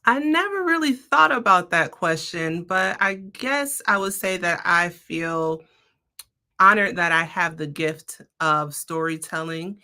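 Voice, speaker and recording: A woman's voice: lowish voice, sharp tone. A woman speaking as a podcast guest, giving casual, conversational answers in an explanatory, anecdotal way.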